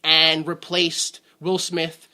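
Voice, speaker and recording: Bright-voiced man riffing on a movie. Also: on the high side, completely flat delivery, slow pace. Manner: rambling, swears freely, laughs, acts out quotes. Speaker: podcast co-host. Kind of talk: casual movie review chat.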